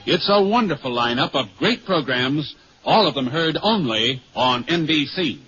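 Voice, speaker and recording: Formal American announcer, muffled old radio. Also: male voice, fairly high pitch, lively intonation, normal speed, a bit bright. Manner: formal, dramatic, declamatory. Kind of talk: 1950s radio announcing, scripted. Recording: muffled 1950s radio recording with music.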